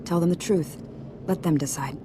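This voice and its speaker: A woman's voice: fairly low pitch, normal speed, natural voice. A woman playing a proud, self-righteous senator in a video game, speaking earnestly and formally. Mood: resigned. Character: proud, self-righteous female senator defending her crimes. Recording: clearly noisy background ambience.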